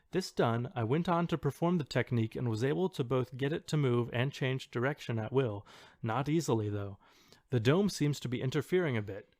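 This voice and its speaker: A man's voice: mid-range voice, darkish tone, dry recording, natural voice. A man dramatically reading an online post as a self-assured, earnest claimant of secret mental powers. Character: self-assured forum poster boasting of telekinetic powers.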